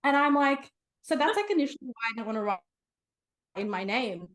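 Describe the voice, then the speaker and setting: A woman's voice: on the high side, expressive, fairly quick, fairly bright tone. Bubbly young woman author rambling. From half Romanian, half Sri Lankan heritage. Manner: bubbly, rambling, lots of "like" fillers, laughs. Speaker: author guest. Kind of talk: casual interview chat.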